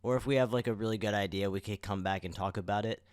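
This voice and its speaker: A man's voice: lowish voice, steady tone, fast talker, darkish tone, no room sound, studio quality. A young man co-hosting a podcast in casual chat, now and then addressing listeners like an announcer. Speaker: podcast co-host.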